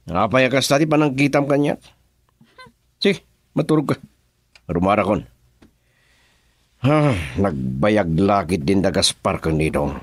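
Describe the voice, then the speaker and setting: A scheming boss in a radio drama. He speaks very slowly in a low voice, with theatrical swings and a deliberate weight on his words. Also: lively intonation, no echo. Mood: confident. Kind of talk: Ilocano radio drama acting.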